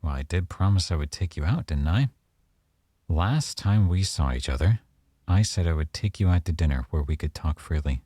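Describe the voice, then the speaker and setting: A man acting as a young mafia boyfriend in an intimate ASMR roleplay. His voice is extremely low and mellow, recorded dry and close. He talks in an unhurried, teasing way, coy with a veiled menace. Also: expressive, breathy edge, dry recording. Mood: coy, smooth.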